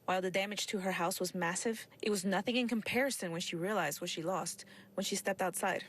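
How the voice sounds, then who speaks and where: A woman's voice: medium pitch, brisk. A woman reporting for television news, reading a scripted narration.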